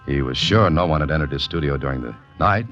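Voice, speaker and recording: A very deep-voiced American man plays a wry, laconic adventurer in a 1940s radio mystery. He narrates in hard-boiled, wisecracking fashion. The old recording is dull, with some music behind. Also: fast, a bit bright. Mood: wry narrating. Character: dry, wry writer and amateur adventurer, male narrator.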